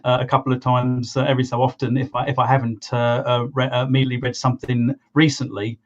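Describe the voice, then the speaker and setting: A man's voice: mid-range voice, completely flat delivery, quick pace, dull audio. A man co-hosting a podcast, talking casually with lots of hesitations and restarts. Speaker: podcast co-host. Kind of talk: casual conversation.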